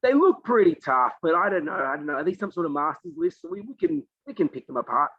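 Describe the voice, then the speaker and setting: An Australian man, a podcast guest, joking and bantering quickly in a casual online interview. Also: on the high side, lively intonation, dry recording, muffled recording.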